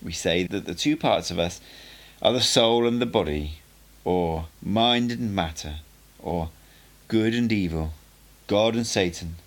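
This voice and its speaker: A man's voice: low-pitched, a little slow. A man voicing a playful old sorcerer who teaches with patient, lecturing explanations. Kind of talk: audiobook character dialogue.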